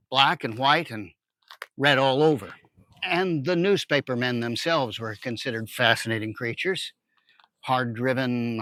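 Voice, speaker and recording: A man's voice: mid-range voice, a bit bright, dry recording, low-quality recording. A middle-aged or older American man hosting with a conversational, wry, folksy scripted introduction. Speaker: host.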